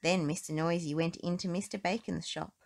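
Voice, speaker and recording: A woman reading a children's story aloud as narrator, in an expressive, deliberate storytelling manner. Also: on the low side, vocal fry. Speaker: storybook reader.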